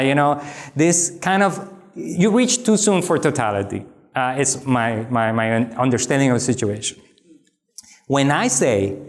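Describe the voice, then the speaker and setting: A man from the Republic, answering audience questions after a talk in an animated, emphatic way with wry asides. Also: a little high. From Dominican Republic. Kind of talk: lecture Q&A, conversational.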